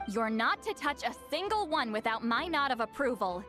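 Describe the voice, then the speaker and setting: A haughty young shaman woman from an anime game. Her voice is high and very animated, grumpy and aloof on top with softness underneath. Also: normal speed, soft background music. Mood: haughty, brisk. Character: proud young female shaman, grumpy, aloof, secretly soft-hearted. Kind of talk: anime video game voice acting.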